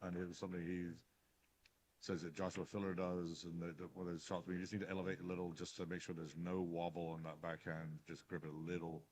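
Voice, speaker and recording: A man casually commentating a live pool match stream, chatty and loud-voiced, on a headset mic in a bar. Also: deep voice, little pitch movement, fast, breathy edge. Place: bar near a pool table. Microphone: headset mic with headphones.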